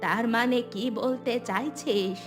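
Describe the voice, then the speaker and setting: A woman's voice: fairly high pitch, a little slow, soft background music, lightly acted. A woman playing a worried, fearful village mother in a cartoon, pleading anxiously. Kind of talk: Bengali cartoon voice acting.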